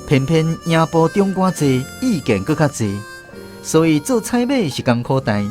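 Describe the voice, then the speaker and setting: Animated male storyteller with Taiwanese accent. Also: a little high, moderate pace, soft background music. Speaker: first-person narrator. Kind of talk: scripted storytelling narration.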